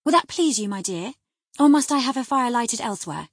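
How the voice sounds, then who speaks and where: An excitable young lady of the gentry, acted out by a woman reader, impulsive and imperious. Her voice is lifted high and pushed loud, with a slightly husky edge, as in a dramatised classic novel. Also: brisk, no room sound, clean studio sound. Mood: eager, playful. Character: excitable, wilful young gentry wife, breathlessly joyful. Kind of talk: audiobook character acting.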